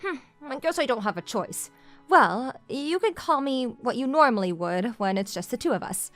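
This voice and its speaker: A woman's voice: on the high side, animated, moderate pace, dry recording, soft background music, close to an everyday voice. A young woman playing a confident, pushy female CEO who teases her junior in a manga dub romance. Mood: tipsy, sulky. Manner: straightforward, teasing, self-assured.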